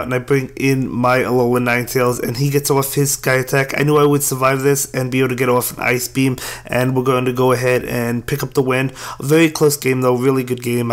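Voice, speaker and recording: Hoarse male gamer, casual play-by-play, flat delivery. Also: medium pitch. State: hoarse, losing his voice. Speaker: game commentator. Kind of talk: gameplay commentary.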